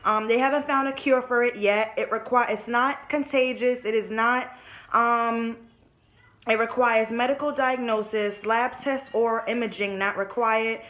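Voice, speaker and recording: A woman's voice: a little high, fairly even intonation, a little slow, a bit bright. A woman in her early twenties telling her story to viewers in a candid vlog, frank and casual, swearing freely and calling her audience y'all. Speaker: vlogger.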